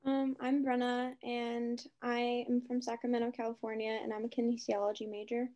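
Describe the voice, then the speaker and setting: A woman's voice: fairly high pitch, flat delivery, normal speed, mellow, highs cut off. A young woman, a college athlete, introducing herself briefly on a group video call. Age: young adult. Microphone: video call microphone.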